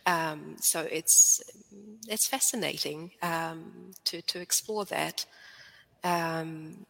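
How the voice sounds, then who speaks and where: Bright-toned, hesitant New woman. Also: deep voice, very slow, vocal fry, long reverb tail, low-quality recording. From New Zealand. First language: Swedish. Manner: thoughtful, hesitant, frequent um fillers. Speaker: storyteller guest. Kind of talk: casual interview chat.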